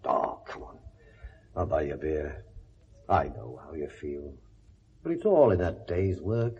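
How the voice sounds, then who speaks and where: An actor playing a blunt, world-weary police inspector with a British accent and a sardonic, lecturing manner in a 1970s radio drama. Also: male voice, a bass voice, lively intonation, unhurried, mellow, husky, heavily acted. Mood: gruff, consoling. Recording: dull vintage radio recording.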